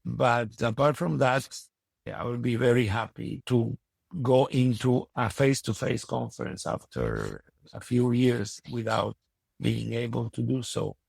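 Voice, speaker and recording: A man with a strong Italian accent speaking reflectively in an interview, pausing to search for his words. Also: medium pitch, gravelly, a little mellow, husky, dry recording. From Italy. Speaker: podcast guest and university educator. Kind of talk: conversational interview.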